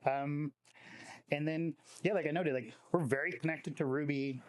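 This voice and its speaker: A man's voice: on the high side, fairly even intonation, moderate pace, no echo. A man giving an informal welcome speech as a company representative, conversational and peppered with "like". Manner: conversational, frequent filler "like".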